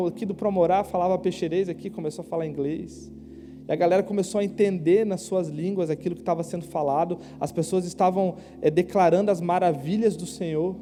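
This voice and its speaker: A young man preaching a casual youth sermon in a church, slangy and conversational, asking the crowd quick questions. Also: sits high, dark tone. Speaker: youth pastor. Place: church hall. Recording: live church hall with long reverb.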